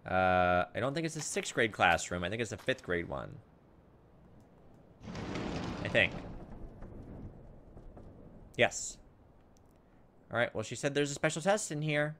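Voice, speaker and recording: A man's voice: medium pitch, very animated, measured pace, long pauses, a bit bright. A man giving casual gameplay commentary as a let's play host, thinking aloud in a hesitant, musing way.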